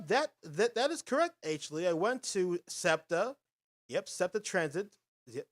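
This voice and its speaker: A man's voice: a little high, fairly bright tone. A man hosting a casual video podcast, narrating footage in an upbeat, rambling announcer patter. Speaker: host.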